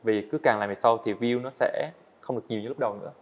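A man's voice: mid-range voice, fast. A young man speaking as a podcast guest, explaining his plans at length in an earnest, casual way, seated in an indoor studio set. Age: young adult. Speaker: guest, student animator. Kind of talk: casual interview talk.

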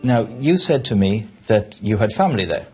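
A man's voice: on the low side, big pitch swings, normal speed, darkish tone, soft background music. A man in his thirties from Wales presenting a documentary in a conversational, reflective way. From Port Talbot, Wales. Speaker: presenter and stand-up comedian.

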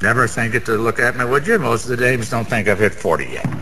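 An actor playing a boozy, boastful middle-aged Broadway gambler in a radio drama, rambling in streetwise slang with a wisecracking manner. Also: male voice, medium pitch, lively intonation, fast talker, close to an everyday voice. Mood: cocky, chummy. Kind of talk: 1990s radio drama acting. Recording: reverberant hall, faint background noise.

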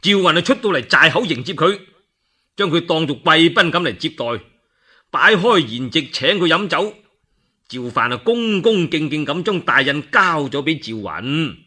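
A man with a Cantonese accent telling a story for radio in a colloquial, expressive, theatrical way. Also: a little high, normal speed. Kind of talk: animated dramatic radio storytelling.

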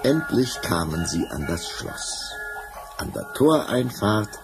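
A man narrating a scripted fairy tale as an expressive, dramatic storyteller. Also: fairly low pitch, slow pace, over loud music, rough recording.

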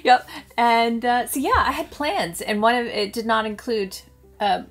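An American woman in her thirties, a travel vlogger chatting casually to camera and telling stories in an animated way. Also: a little high, a little slow, soft background music. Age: 30s.

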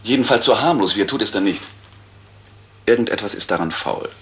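An actor playing a wry, suspicious gentleman in a 1970s German radio crime drama, sardonic and probing. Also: lowish voice, lively intonation, brisk.

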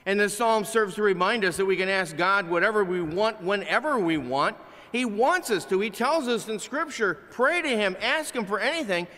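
An older man preaching a conversational sermon from a pulpit in a large chapel, swinging between loud, animated lines and hushed ones, picked up by a pulpit mic. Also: high-pitched, normal speed. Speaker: pastor. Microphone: pulpit microphone, filmed by a far camera. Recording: long chapel reverb.

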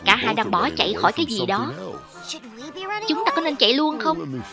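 High-voiced woman over loud music. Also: sing-song, moderate pace. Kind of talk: scripted reading of cartoon dialogue.

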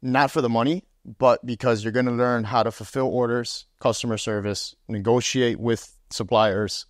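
A man's voice: mid-range voice, normal speed, no echo, clean studio sound. Young Miami man telling his story. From Miami, USA. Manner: animated, gestures, uses fillers like "um" and "right?". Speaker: podcast guest, sales executive. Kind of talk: casual interview storytelling. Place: small room with armchairs, indoors. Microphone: lapel.

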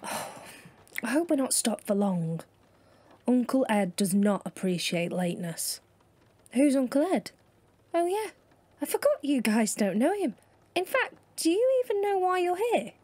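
A woman's voice: sits high, big pitch swings, measured pace, long pauses, darkish tone, husky, no echo, exaggerated voice. A woman playing a chatty, wry young train passenger in an immersive audio drama, talking confidingly. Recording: faint train carriage noise.

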